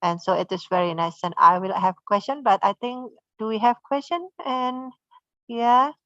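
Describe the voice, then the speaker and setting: A woman's voice: on the high side, normal speed, fairly bright tone, dry recording, lo-fi sound. A woman with an Indonesian accent moderating an online seminar, hesitant with many fillers.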